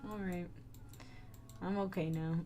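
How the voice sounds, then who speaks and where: Slow, laid-back woman streamer. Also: lowish voice, fairly even intonation, warm tone, soft background music. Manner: laid-back, reacting to chat. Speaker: game streamer. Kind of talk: casual live commentary while playing.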